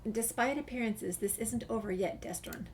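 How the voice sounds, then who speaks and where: A woman's voice: on the high side, moderate pace. A woman playing through a video game and reading the player's dialogue aloud. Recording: clear background noise, game ambience.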